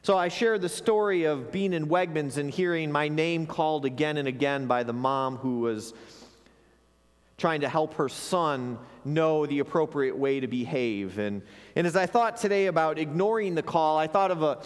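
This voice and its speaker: A man's voice: fairly high pitch, moderate pace, clear, resonant tone. A man pastor preaching an earnest sermon to his congregation, sometimes repeating words as he restarts a phrase. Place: church sanctuary. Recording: long hall reverb.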